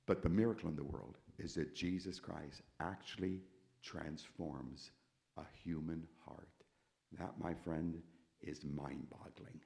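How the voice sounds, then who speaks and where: Older male preacher, deep and gravelly, emphatic church testimony with big dynamic swings. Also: steady tone, a little slow. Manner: emphatic preacher, repeats key words, swings from quiet to loud. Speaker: concert pianist giving testimony. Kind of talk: testimony sermon to a congregation. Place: church sanctuary.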